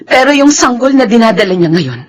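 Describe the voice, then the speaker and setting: A woman's voice: a little high, very animated, fairly quick. A woman acting in a Filipino radio drama as an embittered, anguished older sister, emotional and heavy-hearted. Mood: bitter, subdued.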